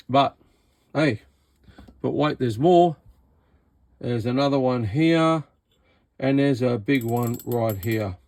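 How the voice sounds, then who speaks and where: A man's voice: medium pitch, a little mellow, no room sound. An Australian man giving a casual, hands-on radio repair demonstration, talking informally with an incredulous, exasperated manner. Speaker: radio repair vlogger and seller.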